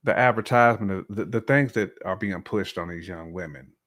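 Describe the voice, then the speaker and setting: A man's voice: low-pitched, fairly quick, fairly bright tone. An American man co-hosting a casual, opinionated chat, speaking bluntly in slang and repeating phrases for emphasis. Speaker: podcast co-host.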